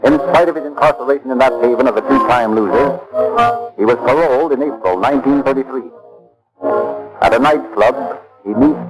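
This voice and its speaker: A man's voice: medium pitch, a little fast, lightly acted, poor audio. A man narrating a true-crime radio program in a grave, dramatic, scripted old-time broadcast style. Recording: vintage radio broadcast with music. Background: music.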